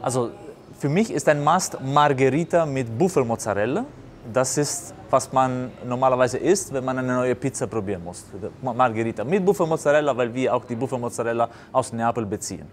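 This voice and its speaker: Male, 40s, native Italian with Italian accent, restaurateur being interviewed, halting delivery, mid-range voice, noisy venue ambience. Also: mid-range voice. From Basilicata, southern Italy. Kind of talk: unscripted interview answers. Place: indoors in a restaurant bar. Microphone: lapel mic. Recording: clear background noise, restaurant ambience.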